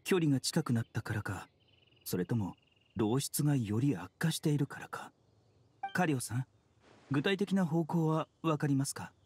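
An actor playing a calm, courteous young male doctor in a video game, speaking politely and in a measured, formal way. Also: mid-range voice, slight background noise, natural voice. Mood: concerned. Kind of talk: Japanese video game voice acting. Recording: studio voice over game music. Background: background music.

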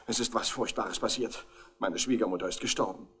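A man's voice: lowish voice, fairly quick, fairly bright tone, close to an everyday voice. A man playing a fussy, self-pitying husband in a 1960s radio crime drama, with a polite manner. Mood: flustered. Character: fussy, put-upon husband arriving late, self-pitying.

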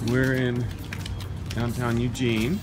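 A man's voice: mid-range voice, level tone, slow, over loud music. A man in his thirties vlogging from his car on a long night drive, talking casually to a phone at arm's length, tired and full of uhs. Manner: tired, chatty, with many uhs. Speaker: vlogging dad on a road trip. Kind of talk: casual vlog to camera. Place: inside a car at night and on the road. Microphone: phone camera at arm's length.

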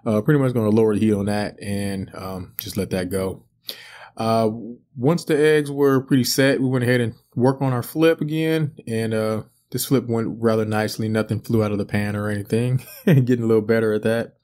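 A man in his thirties casually narrating a home cooking video, relaxed and rambling with frequent fillers. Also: on the low side, normal speed. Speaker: cooking channel host. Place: home kitchen.

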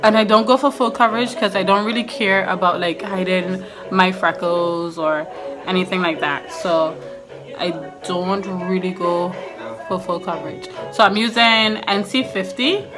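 A woman's voice: medium pitch, steady tone, normal speed. A woman in her twenties from Trinidad chatting casually to the camera as she does her makeup. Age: 20s. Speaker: beauty vlogger. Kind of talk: casual chat to camera while doing makeup. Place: small indoor room with curtains and couch. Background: music playing.

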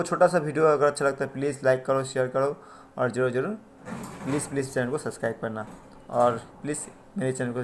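An Indian man hosting a casual tech tutorial, talking to viewers in a repetitive, pleading way. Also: medium pitch. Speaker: tech tutorial channel host. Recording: noisy amateur recording with background ambience.

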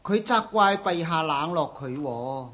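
An actor with a Hong Kong Cantonese accent playing an anxious, obsequious palace eunuch in a radio drama, hesitant and pleading. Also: male voice, a little high, lively intonation, measured pace, fairly bright tone. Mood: deferential.

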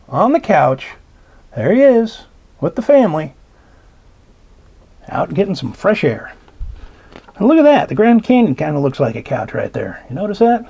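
A man reading a children's picture book aloud, slow and expressive, pausing to point out details in the pictures. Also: a little high, creaky, breathy edge. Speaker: storybook reader. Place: indoors at a table.

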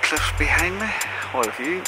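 A man vlogging a road trip in a relaxed, musing way, with soft chuckles, talking outdoors on a coast. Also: on the high side, normal speed, poor audio. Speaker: travel vlogger. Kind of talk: casual vlog narration. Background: loud music.

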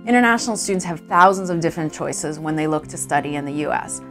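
Woman, low register, university staffer in a promo interview, music underneath. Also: lively intonation, quick pace. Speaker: university admissions staff member. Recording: music behind the voice.

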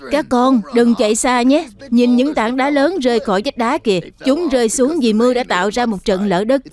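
A man voicing a patient, caring adult bear teacher in a children's cartoon dub, gentle and instructive. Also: very high voice, normal speed. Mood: watchful. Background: background music.

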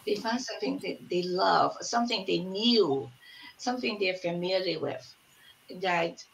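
A woman's voice: medium pitch, vocal fry, a little hiss or hum, low-quality recording. A woman in her sixties chatting on a video call from a home room, searching for words as she tells stories. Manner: warm storytelling, searching for words. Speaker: community elder, collective member. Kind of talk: casual panel chat on video call. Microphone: laptop mic on video call.

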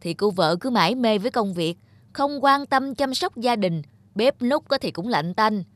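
A woman's voice: fairly high pitch, expressive, normal speed, dry recording, natural voice. A woman playing a chatty, well-meaning married neighbour in a cartoon, gossiping with sighs. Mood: gossipy. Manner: gossipy, sighing.

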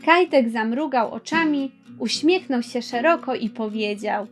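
Slow, high-voiced woman telling a children's tale. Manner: measured storytelling. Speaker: audiobook narrator. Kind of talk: scripted children's fairy-tale narration. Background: plucked guitar music.

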